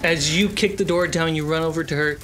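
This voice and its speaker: A woman's voice: sits low, fairly even intonation, fairly quick. A woman narrating a tabletop roleplaying game as game master, with dramatic, vivid storytelling. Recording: noisy, with ambience behind.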